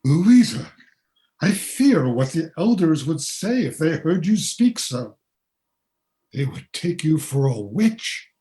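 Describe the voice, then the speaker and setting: A man playing a cautious, formal man of times past with dry dark humor in a radio play. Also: a little high, big pitch swings, slow pace. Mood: worried. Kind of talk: period radio play acting.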